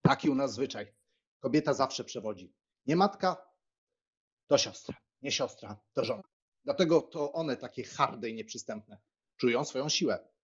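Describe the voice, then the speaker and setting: A man acts out a young country gentleman for a dramatised audiobook. He is frank and teasing, with a husky, expressive delivery. The sound is slightly dull. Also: medium pitch, moderate pace. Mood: frank, chatty. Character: young Polish country squire, frank, teasing, deferential brother.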